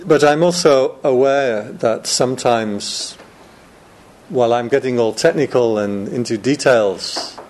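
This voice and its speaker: Slow, joking monk giving a talk. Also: male voice, mid-range voice, darkish tone. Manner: chatty and joking, laughs often. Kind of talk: informal Dharma talk to students.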